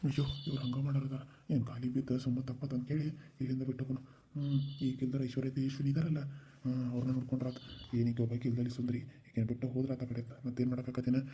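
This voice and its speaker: A man's voice: high-pitched, steady tone, fast talker, few pauses, mellow, slight background noise, lightly acted. A man voicing a cowardly young man who begs for forgiveness in a whining North Karnataka accent. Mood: sly muttering. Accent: North Karnataka Kannada. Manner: whining, begging.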